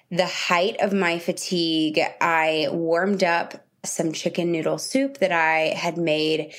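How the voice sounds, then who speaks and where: Sick woman with a scratchy throat. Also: lowish voice. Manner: chatty, talking straight to her viewers. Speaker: vlogger. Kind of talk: casual video diary. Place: at home.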